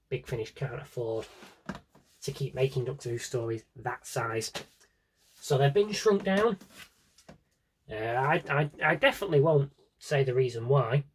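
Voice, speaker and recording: A man's voice: medium pitch, husky, low-quality recording. A British man chatting casually through a vlog-style review, wry and opinionated, with rambling asides. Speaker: vlogger showing a collection.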